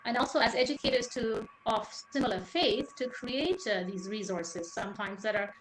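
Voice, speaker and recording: Woman teacher from Sri Lanka, panel speaker; earnest, halting delivery, roomy echo with faint hiss. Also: a little high. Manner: earnest, with many fillers. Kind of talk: panel discussion remarks. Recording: echoey room, faint noise.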